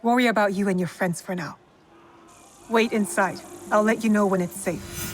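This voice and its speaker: A woman's voice: medium pitch, normal speed, slight background noise, close to an everyday voice. An actress playing a protective, commanding young woman warrior in a video game, speaking in a firm, serious way. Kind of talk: cinematic video game acting.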